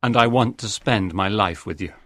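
An actor playing a reserved, honourable Victorian gentleman, restrained, formal and sincere, in an audiobook. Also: lowish voice, normal speed, no echo, clean studio sound. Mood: tender.

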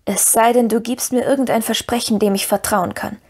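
A woman voicing a stern, commanding yet pitying male hobbit in a fantasy story, speaking in a firm, measured way. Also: on the high side, steady tone, a little mellow, breathy edge. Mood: firm, wary.

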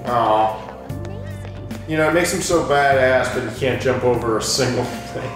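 A man's voice: medium pitch, normal speed. Guy rambling and joking thru a let's play, super echoey, kinda far from mic. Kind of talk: casual gaming commentary. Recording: roomy echo, distant mic, music behind. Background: game music.

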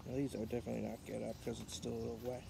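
A man's voice: medium pitch, little pitch movement, racing, creaky. A man casually talking to himself while he carves wood in a backyard, picked up by a camera mic on the ground. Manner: offhand, muttering to himself while working. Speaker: outdoor knife vlogger. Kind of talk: casual demonstration talk. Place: backyard outdoors by a chain-link fence. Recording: outdoor ambience noise, passing cars. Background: outdoor ambience with passing cars and insects.

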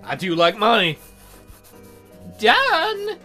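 A woman's voice: medium pitch, lively intonation, moderate pace, sharp tone, faint music behind. A woman hosting a let's play, giving casual game commentary full of joking, sarcastic asides.

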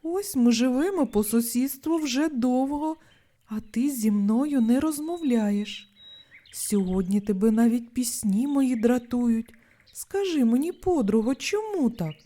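A gentle male grasshopper from a children's storybook, acted out by a woman, sensitive and easily hurt. He speaks politely and plaintively in a high, slow voice. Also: lively intonation. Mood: hurt, pleading.

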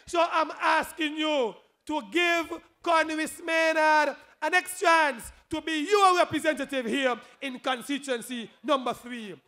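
A man with a Kittitian accent giving an emphatic, rousing political rally speech as a candidate, repeating phrases and raising them to shouts. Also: very high-pitched, steady tone, measured pace, hardly any pauses.

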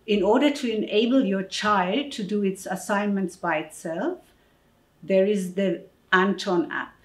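Middle aged lady presenting a scripted info message, measured and formal, echoey room. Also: medium pitch. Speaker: presenter of advice for parents. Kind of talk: scripted public information address. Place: indoor office room.

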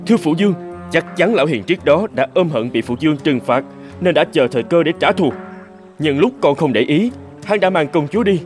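A man's voice: a little high, lively intonation, fairly quick, a little mellow, soft background music, close to an everyday voice. A man voicing a young prince who searches urgently and earnestly in a cartoon fairy tale. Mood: determined. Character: young prince, anxious and determined.

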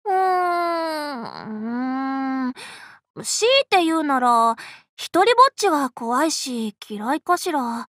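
A woman voicing a cheerful, bossy, scatterbrained young female Buddhist monk in an anime game, boastful and childlike in an expressive, exclamatory way. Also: high voice, slow, no echo, studio quality, full character voice. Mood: hesitant, flustered. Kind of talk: Japanese anime game character voice lines.

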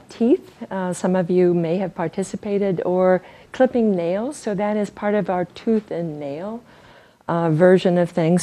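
An older woman presenting research to an audience in a conference room, soft-spoken and using fillers. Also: lowish voice. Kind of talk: scientific talk at a reception.

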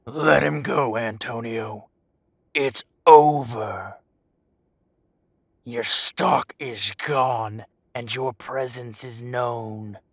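A man plays a grim werewolf detective in an audio drama. He is protective and menacing, with a gravelly, dry-recorded voice and a very drawn-out delivery. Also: mid-range voice, fairly even intonation, a bit bright, no room sound, exaggerated voice. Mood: stern, controlled. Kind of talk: amateur audio roleplay drama.

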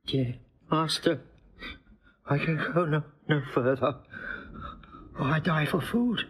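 A man's voice: a little high, slow pace, fairly bright tone. An actor playing an old, faithful male servant who is faint from hunger and speaks in a weary, pleading way. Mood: exhausted. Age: older. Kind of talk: stage-style film acting.

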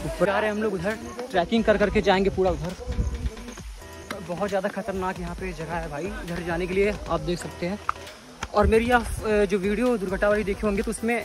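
A man in his twenties from India giving excitable, casual vlog commentary outdoors to viewers and friends, recorded on a phone at arm's length. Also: sits high, brisk. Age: 20s. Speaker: vlogger. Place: outdoors on a rocky hillside and waterfall.

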